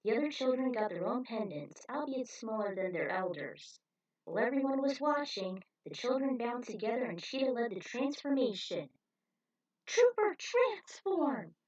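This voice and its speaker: A woman's voice: a little high, animated, moderate pace, darkish tone, lo-fi sound. Woman narrating a story aloud. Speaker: fan fiction author and narrator. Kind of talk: reading own fan fiction story aloud.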